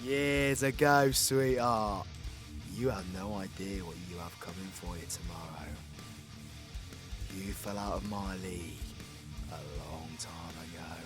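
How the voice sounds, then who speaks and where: A man's voice: low-pitched, fairly even intonation, unhurried, music in the background. A British man playing a cocky, foul-mouthed, brawling supervillain in an audio roleplay, mocking and crude. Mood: sly taunting. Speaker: voice actor.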